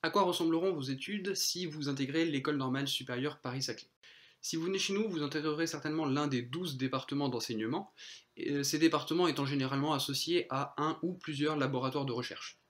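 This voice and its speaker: A man's voice: fairly high pitch, fast. A man presenting a school's studies to prospective students, explanatory with occasional fillers.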